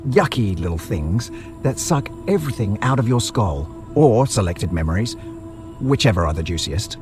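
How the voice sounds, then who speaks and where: A man acts out a maniacal butcher, a gleeful audio drama villain with a low voice. He talks down to everyone in a theatrical, condescending way, with music clearly running under the words. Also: very animated, normal speed.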